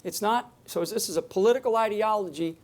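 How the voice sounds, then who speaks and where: Guy giving a talk on stage, kinda high voice, keeps restarting and pushing his points hard. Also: a little fast. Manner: emphatic, rambling, many restarts. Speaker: public speaker on security. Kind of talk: unscripted talk to a live audience. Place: on a stage before an audience.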